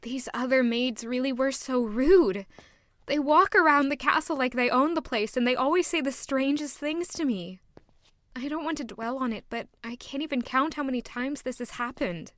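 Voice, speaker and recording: An actress playing an earnest young maid who narrates her own story, polite and soft-spoken. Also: sits high, normal speed, no echo, natural voice. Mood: reflective. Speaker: maid and first-person narrator. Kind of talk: audio drama acting and narration.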